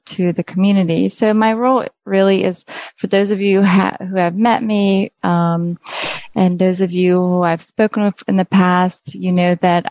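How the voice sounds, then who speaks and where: A middle-aged woman from Poland with a Polish accent, a native Polish speaker, giving an informal educational presentation in a hesitant, halting way. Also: lowish voice, a little mellow, dry recording.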